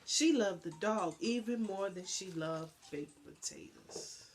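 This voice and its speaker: Slow-paced woman reading a children's story. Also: medium pitch, a little hiss or hum. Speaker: storybook reader. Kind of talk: expressive scripted children's story narration.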